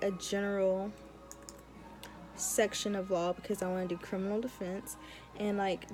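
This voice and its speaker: A young woman talks in a casual, chatty vlog style at a middle pitch. She is informal and emphatic, repeating words for effect as she gives advice like a student talking to friends. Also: dark tone. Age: young adult. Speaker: law student vlogger.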